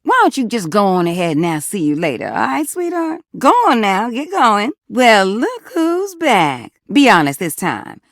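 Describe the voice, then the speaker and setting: Teasing, gossipy Southern appliance character for a game: animated, sweet-talking, gravelly, close dry sound. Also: female voice, mid-range voice, normal speed, hardly any pauses, no echo. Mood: flustered, teasing. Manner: sweet-talking, teasing, drawling terms of endearment. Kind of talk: video game character voice acting.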